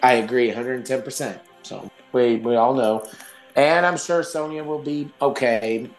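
A man co-hosting a casual wrestling podcast, hoarse from losing his voice, laid-back and swearing casually. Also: medium pitch, a little slow, echoey room, faint music behind, poor audio. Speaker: podcast co-host. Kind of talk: casual wrestling news chat.

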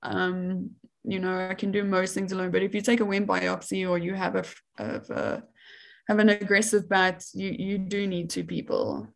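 A woman's voice: mid-range voice, normal speed, gravelly. A young woman from South Africa with a South African accent, chatting as a researcher guest in an interview, laughing often and using many fillers. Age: young adult. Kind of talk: casual interview chat.

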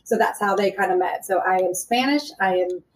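A woman's voice: medium pitch, fairly quick, rough recording. A surgeon in her thirties speaks candidly as an interview guest, her mid-range voice loose and conversational. She leans on ums and you-knows as she talks it through. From Arizona, USA. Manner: candid, chatty, with many fillers like um and you know.

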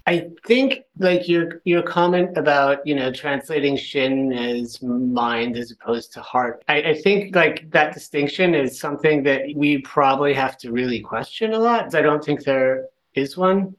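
A man's voice: on the high side, a little slow, hardly any pauses, fairly bright tone, low-quality recording. A man taking part in a casual group discussion, thinking aloud in a tentative, conversational way. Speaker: discussion participant.

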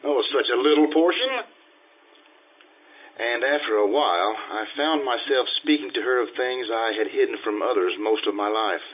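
A man plays a vain Italian social climber of forty in an old-time radio play. He talks like a fussy, self-important gentleman, with theatrical delivery and a slightly husky voice. The sound is dull and lo-fi. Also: mid-range voice, normal speed, natural voice. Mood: fussy, indignant. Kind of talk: old-time radio drama acting with narration.

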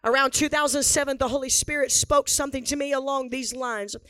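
A hoarse woman pastor preaching a revival sermon in a church, repeating lines for emphasis and building to shouts. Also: high-pitched, fairly even intonation, brisk, no echo. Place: church sanctuary before a live congregation.

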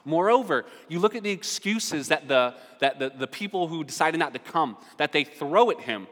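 A man preaching a sermon as a pastor, conversational and quick to ask his listeners questions. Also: on the high side, animated, fairly bright tone. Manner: conversational, asks the audience questions. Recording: long hall reverb.

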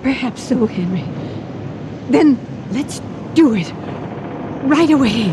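A woman's voice: high-pitched, animated, slow pace. An actress playing a fretful, imaginative elderly wife in a radio drama, hesitant and deferential to her husband. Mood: doubtful. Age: older. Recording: noisy 1980 radio drama recording.

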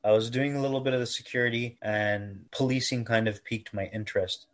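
A man's voice: mid-range voice, fairly even intonation. Earnest young Canadian man. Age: 20s. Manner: earnest, measured, self-correcting. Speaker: interviewee. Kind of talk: reflective personal testimony.

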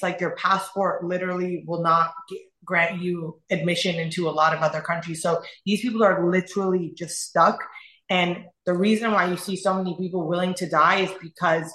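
Earnest woman from Iran, bright voice. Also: fairly low pitch, roomy sound, rough recording. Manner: earnest, halting, repeats phrases. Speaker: guest. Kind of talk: emotional personal testimony in conversation.

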